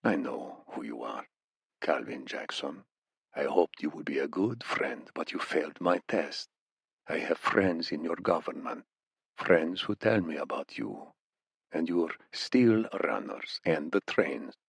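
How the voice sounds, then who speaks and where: Man playing a deep, softly menacing Iranian interrogator. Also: sing-song, vocal fry, breathy edge, no room sound, exaggerated voice. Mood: quiet threat. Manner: soft-spoken, slow, mock-polite menace. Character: menacing male Iranian interrogator, muscular, mock-friendly villain.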